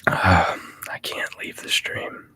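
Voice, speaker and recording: A man hosting a casual ASMR livestream, chatting in a breathy whisper and rambling with hesitant pauses. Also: bright, forceful tone, dry recording. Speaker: livestream host.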